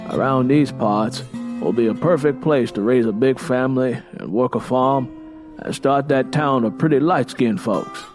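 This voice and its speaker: A man's voice: medium pitch, normal speed, over music, close to an everyday voice. Man voicing confident, stern Southern matriarch. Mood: confident, planning. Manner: confident, instructing.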